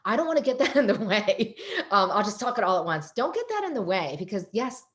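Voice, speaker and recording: A woman psychologist speaking animatedly on a panel over a video call, with a loud, emphatic voice. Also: mid-range voice, fairly quick. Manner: animated, emphatic, self-correcting.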